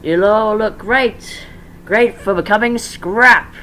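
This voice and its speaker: A performer voicing a smug, menacing businessman with drawn-out, taunting politeness, in an amateur story reading. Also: medium pitch, pushed and bright, slight background noise, pitched above the performer's own voice.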